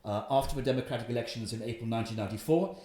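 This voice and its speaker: A man in his fifties with a Welsh accent, telling his story in an interview with animated, emphatic delivery. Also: mid-range voice, racing, reverberant. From Wales. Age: 50s. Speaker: investment guest. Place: room in his house.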